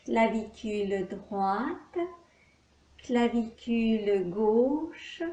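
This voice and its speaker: A woman teaching yoga nidra, leading a guided relaxation with calm, measured instructions and long pauses. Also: on the high side. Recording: echoey room.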